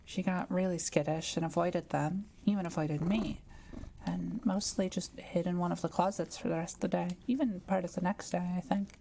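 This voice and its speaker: Kind forest witch played by a woman, intimate roleplay; soft mellow tone, hesitant and gentle, faint noise behind the voice. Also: on the low side, fairly even intonation, moderate pace, gravelly. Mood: gently surprised, flustered. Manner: gentle, hesitant, softly teasing. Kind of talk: intimate audio roleplay, speaking to the listener.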